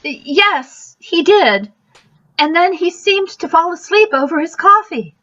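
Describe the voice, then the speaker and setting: A genteel, flustered hostess acted by a woman in a radio play. Her voice is high and slow, with fluttery hesitation. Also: lively intonation, a bit bright, highs cut off, exaggerated voice. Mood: shaken, hesitant. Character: flustered, genteel housewife hostess at dinner party. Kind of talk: radio mystery acting.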